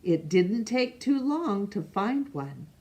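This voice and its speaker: Slow, expressive woman reading to children. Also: medium pitch. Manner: expressive, poses questions to young listeners. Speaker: storytime reader. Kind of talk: reading a picture book aloud to children.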